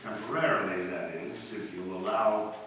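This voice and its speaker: An actor playing an urbane, teasing society gentleman with a sardonic manner in an old-time radio comedy. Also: lowish voice, normal speed, close to an everyday voice. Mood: wry, needling. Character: suave, teasing male society sophisticate, wryly needling. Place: live stage performance in a hall. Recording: very echoey hall, distant mic, noisy.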